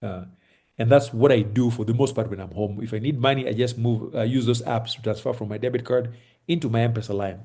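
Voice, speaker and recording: Kenyan guy on a video call, easygoing and reassuring, lots of uhs, mid pitch. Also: brisk. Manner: relaxed and reassuring, with many fillers and restarts. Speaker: host advising on moving to Kenya. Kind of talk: casual advice chat on a call. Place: home in Kenya.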